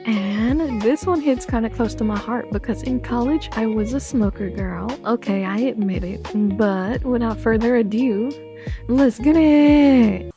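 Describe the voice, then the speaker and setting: A young American woman with a raspy voice, chatting casually as she reacts to a video, full of "like" fillers. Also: fairly high pitch, measured pace, over music. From United States. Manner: chatty, rambling, lots of "like" fillers.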